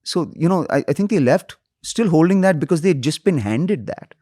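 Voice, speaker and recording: A man from India in his forties, a comedian chatting as a guest in an interview, lively, jumping higher when he quotes people. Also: normal speed, no room sound, clean studio sound. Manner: animated, pitch jumps up when quoting people. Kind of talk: casual interview chat.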